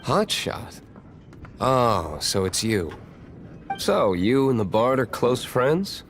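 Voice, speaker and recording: A man playing an aloof, cynical young tavern owner in a video game, speaking in a cool, dry, measured way. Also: medium pitch, very animated. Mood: cool disdain. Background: background music.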